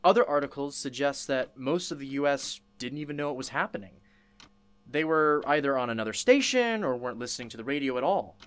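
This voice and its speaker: An actor playing an inquisitive interviewer in a radio play, sympathetic but probing. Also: male voice, mid-range voice, lively intonation, a little fast, smooth, natural voice.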